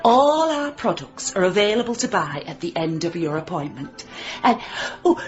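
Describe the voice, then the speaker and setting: An actress playing a falsely polite, guarded hair salon owner in a radio drama, deliberate and evasive. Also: female voice, lowish voice, very animated, muffled recording, faint music behind. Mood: sly, prim.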